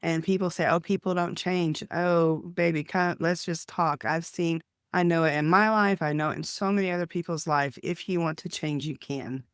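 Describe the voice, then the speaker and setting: Enthusiastic Texan host, smooth voice. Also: male voice, fairly high pitch, steady tone, moderate pace, few pauses, a bit bright, no room sound, studio quality. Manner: enthusiastic, chatty. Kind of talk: casual interview hosting.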